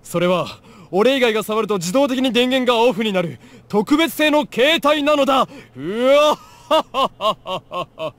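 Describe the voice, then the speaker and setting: A man's voice: extremely high pitch, expressive, fast, a bit bright, no echo. A man voicing a theatrical, paranoid young mad scientist in a visual novel, pompous and dramatic. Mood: boastful. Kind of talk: Japanese visual novel voice acting. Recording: game music under the voice.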